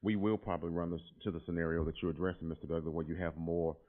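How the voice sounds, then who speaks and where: A man's voice: sits low, fairly even intonation, racing. A man presenting a proposal at a school board meeting, explaining steadily with frequent filler words. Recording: tinny lo-fi meeting audio.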